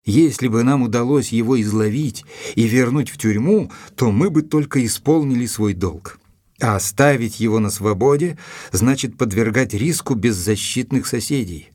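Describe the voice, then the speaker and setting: An actor playing a composed gentleman narrating his own adventure in a measured way for an audio drama. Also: mid-range voice, no echo, close to an everyday voice. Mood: thoughtful. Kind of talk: scripted first-person audio drama narration.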